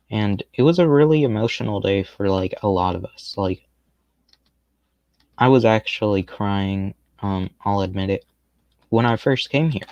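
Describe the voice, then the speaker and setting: Low, dry, laid-back male streamer. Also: no echo. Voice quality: fairly monotone. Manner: rambling, laid-back, self-deprecating. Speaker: game streamer. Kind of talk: casual gameplay commentary.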